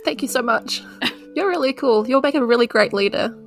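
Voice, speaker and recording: A woman's voice: a little high, fairly quick, vocal fry, soft background music. A woman chatting casually in play during a tabletop game. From New Zealand.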